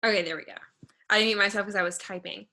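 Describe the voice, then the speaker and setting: Bright, chatty young woman from Texas. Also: medium pitch, fairly even intonation, fast. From Texas, United States. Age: early 20s. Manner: chatty, peppered with "like" and "y'all". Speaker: direct-sales team leader co-hosting a training. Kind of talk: informal personal story to an online group.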